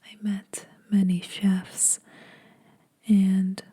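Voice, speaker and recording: A woman playing a teasing, secretly kind vampire in an intimate, breathy whispered ASMR roleplay. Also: mid-range voice, monotone, warm tone, full character voice. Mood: wistful, subdued. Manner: slow, intimate, dryly teasing. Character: teasing, lonely female vampire, ageless, secretly kind to mortals.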